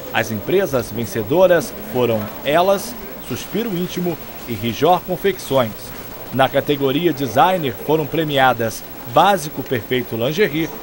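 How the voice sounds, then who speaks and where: A man's voice: mid-range voice, noisy background. Man reading a news report. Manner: formal and measured. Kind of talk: scripted news voice-over.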